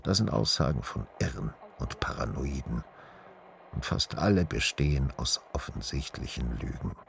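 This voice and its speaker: Male for a fed-up Prussian civil servant in radio drama: extremely low, creaky voice, blunt and indignant, faint noise behind. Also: expressive, moderate pace, fairly bright tone, exaggerated voice. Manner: blunt, exasperated. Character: weary, embittered Prussian official, fed up and indignant. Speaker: actor in a radio play.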